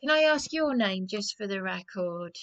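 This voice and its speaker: A woman's voice: mid-range voice, lively intonation, normal speed, fairly bright tone, muffled recording. A distressed woman making a complaint as a caller, agitated and insistent, repeating her phrases.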